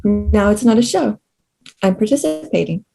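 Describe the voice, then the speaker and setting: A woman's voice: mid-range voice, expressive, moderate pace, gravelly, over music. A woman playing an entitled, demanding rich client of an escort, blunt and matter-of-fact, in a table read. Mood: impatient.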